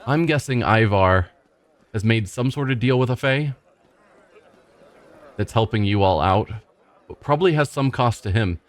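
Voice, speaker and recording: A man's voice: medium pitch, lively intonation, moderate pace, long pauses. Skeptical man doing gameplay commentary. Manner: skeptical, dry asides. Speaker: let's play host. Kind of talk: gameplay commentary and reading dialogue. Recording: faint game music behind.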